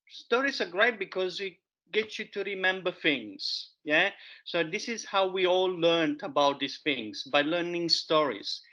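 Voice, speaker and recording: A man's voice: sits high, bright, forceful tone. A man teaching about wild edible plants in a casual, enthusiastic show-and-tell way. He speaks in loose, chatty phrasing full of fillers and often repeats himself. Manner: enthusiastic, chatty, repeats "there you have it"; loose non-native phrasing with many fillers. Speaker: foraging educator. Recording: dull lo-fi recording.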